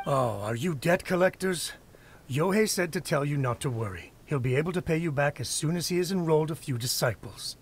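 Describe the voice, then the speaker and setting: A man's voice: fairly high pitch, expressive, fairly quick, slight background noise. A man voicing a gossipy village man in a video game, talking in a matter-of-fact and mildly scornful way. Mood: polite.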